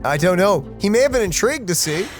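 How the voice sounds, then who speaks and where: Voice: mid-range voice, very animated, quick pace. A gaming host chatting casually through a playthrough, informal and thinking aloud. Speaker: let's play host. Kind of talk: casual gameplay commentary and chat. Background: faint game music.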